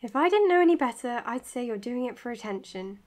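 An actress playing an anxious, caring young doctor in an audio drama, hesitant and dryly exasperated. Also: female voice, fairly high pitch, expressive, fairly quick, a little mellow, natural voice. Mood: worried. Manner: hesitant, flustered, dryly sarcastic. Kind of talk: naturalistic audio drama acting.

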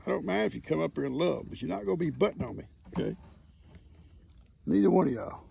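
A man, hoarse from losing his voice, chatting and teasing his farm animals outdoors into a phone held at arm's length. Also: a little high, very animated, fast talker, background noise. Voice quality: gravelly. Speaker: farm vlogger. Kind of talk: casual talk to animals and camera. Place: outdoors under a shade tree on a farm.

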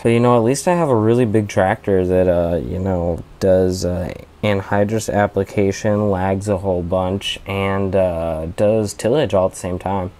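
A man's voice: sits low, a little mellow. A man hosting his own gaming channel, narrating a farming game in easygoing, rambling commentary with plenty of ums and uhs. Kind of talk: casual gameplay commentary.

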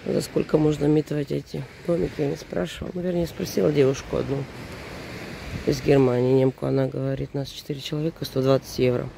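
A woman in her forties with a hoarse voice from a cold, chatting in a rambling, casual way while walking around a seaside resort. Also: low-pitched, fairly even intonation, quick pace, warm tone, a little hiss or hum, low-quality recording. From Russian-speaking, living in Germany. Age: 40s. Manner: chatty, rambling, self-correcting. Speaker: vlogger. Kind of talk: casual walking vlog commentary. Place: outdoors at a seaside resort in early morning.

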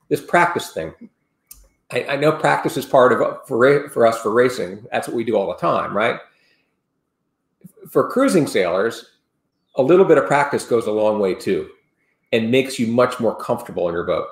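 Roomy-sounding older man explaining sailing drills. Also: mid-range voice. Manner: rambling, many restarts and fillers, practical and earnest. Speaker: sailing expert guest. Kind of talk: casual explanatory interview talk. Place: home room, indoors. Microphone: video call webcam. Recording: roomy video call audio.

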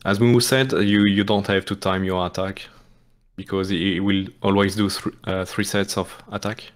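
A man's voice: deep voice, level tone, normal speed. A man from France with a French accent, explaining a game speedrun in hesitant live commentary full of restarts. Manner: hesitant, many restarts and fillers, apologetic.

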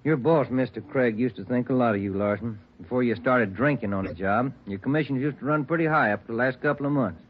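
An actor playing a steady police detective partner who makes dry remarks, in 1940s radio acting. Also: male voice, medium pitch, fairly quick, few pauses, lightly acted. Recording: lo-fi 1940s radio broadcast with faint hiss.

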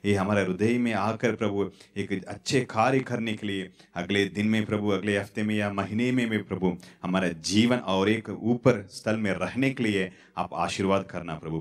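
A man in his thirties preaching a sermon on a church stage into a handheld mic, halting and repetitive. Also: deep voice, steady tone. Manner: halting, repetitive, fillers and restarts. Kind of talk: sermon with prayer. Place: church stage in front of curtains.

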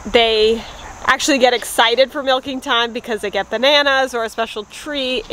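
A young woman with a fairly high voice chats casually through a farm vlog. She films herself outdoors on a phone held at arm's length, and a faint hiss sits under her voice. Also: a bit bright. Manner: chatty, light laughs, baby-talks to her dogs. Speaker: farm vlogger.

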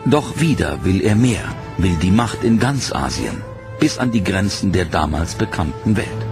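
A man reading a serious, measured documentary narration. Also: deep voice, animated, gravelly. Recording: narration over loud music.

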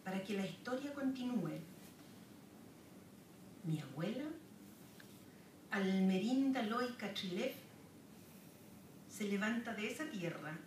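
A Chilean woman recites poetry from a podium at a very slow, measured pace, pausing between each line. She sounds distant, picked up by a camera across a wood-paneled lecture room with a roomy echo and audible background hiss. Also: mid-range voice. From southern Chile. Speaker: poet. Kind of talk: poetry reading with spoken asides. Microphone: camera far across the room.

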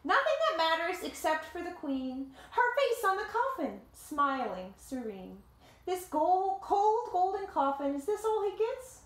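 A woman in her thirties reading a picture book aloud to children like a teacher, with dramatic, deliberate phrasing and simple explanations, recorded in a living room. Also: very high-pitched, very animated. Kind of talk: expressive storybook read-aloud with explanatory asides. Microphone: camera or phone a short distance away. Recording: roomy home echo.

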